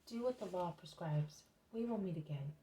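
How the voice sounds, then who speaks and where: A woman reading aloud as a calm, loving male teacher who speaks with quiet authority. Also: moderate pace, a little hiss or hum, close to an everyday voice, poor audio. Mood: gentle. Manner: low, gentle and commanding.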